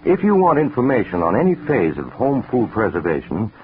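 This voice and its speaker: A man with a deep voice hosts an old radio broadcast. He reads a scripted public appeal earnestly, with strongly varied pitch. The recording is muffled, with slight noise. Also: huge pitch swings, a little fast. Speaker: radio host.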